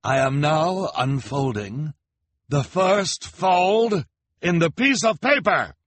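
A man voicing a vain, scheming male villain who talks like a theatrical showman, loud and gloating. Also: a little high, animated, slow pace, dry recording, voice pushed up, full character voice.

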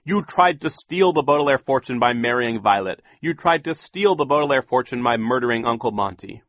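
A man voicing a stern, fussy gentleman in authority for an audiobook, scolding with pompous, indignant emphasis. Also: medium pitch, animated, brisk, no echo, voice pushed up, lightly acted. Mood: firm, reproving. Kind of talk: audiobook character voice.

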